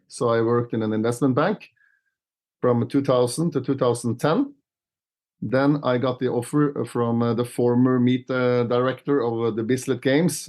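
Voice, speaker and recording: A man in his fifties with a Norwegian accent, recounting things conversationally as an interview guest, halting and matter-of-fact. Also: medium pitch, darkish tone. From Norway. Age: 50s. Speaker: interview guest, athletics meeting director. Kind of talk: conversational career account.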